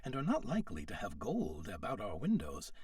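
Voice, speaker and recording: A man voicing a kindly, plain-spoken farm woman in a storybook, with a gentle manner. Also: lowish voice, wildly swooping pitch, fairly quick, no echo, clean studio sound, far from the mic, pitched below the performer's own voice. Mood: kindly, amused.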